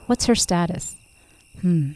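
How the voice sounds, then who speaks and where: A woman's voice: on the low side, animated, slow pace, a little mellow. A woman voicing an anxious, protective grandfather who is a private investigator in an audiobook, with terse questions. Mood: concerned.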